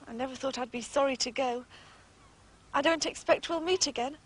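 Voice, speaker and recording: A woman's voice: sits high, fairly quick, a little hiss or hum, close to an everyday voice. An actress playing a polite, eager young girl with an English accent in a radio drama. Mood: wistful. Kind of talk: British radio drama acting.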